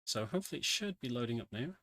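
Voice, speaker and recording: A man's voice: mid-range voice, expressive, racing, pushed and bright, dry recording. A man giving casual, chatty live commentary as he plays through a game in a speedrun. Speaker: speedrunner commentating his run. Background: video game music.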